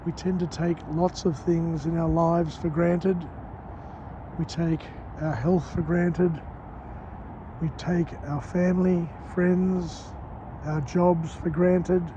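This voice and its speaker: A man with a hoarse, croaky voice, vlogging on a walk outdoors at dawn and summarising a book slowly in short phrases. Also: fairly high pitch, little pitch movement, dark tone. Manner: slow and deliberate, in short phrases. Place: outdoors on a city street at dawn. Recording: muffled outdoor phone audio with traffic.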